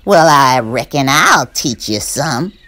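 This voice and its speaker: A woman voicing a cocky, brash male rabbit with a loud, folksy, boastful drawl in a storybook read-aloud. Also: rumbling low, animated, a little slow, full character voice. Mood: annoyed.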